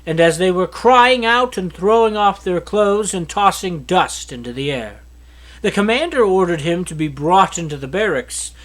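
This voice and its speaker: Male Bible reader, notably high voice, expressive scripted narration.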